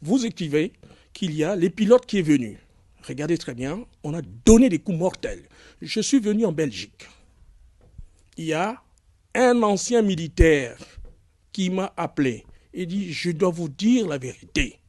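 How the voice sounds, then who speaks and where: A man's voice: fairly high pitch, lively intonation, moderate pace, a little mellow, dry recording, studio quality. A man giving an impassioned public statement in a clip, speaking emphatically and building to anger. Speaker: speaker in played video clip.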